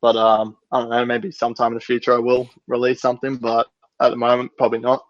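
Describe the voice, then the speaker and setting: A man's voice: medium pitch, monotone, quick pace, dry recording. A man chatting casually in a joking, sweary way over a lo-fi connection. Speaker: band musician, podcast guest. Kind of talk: casual chat. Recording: slightly dull lo-fi connection.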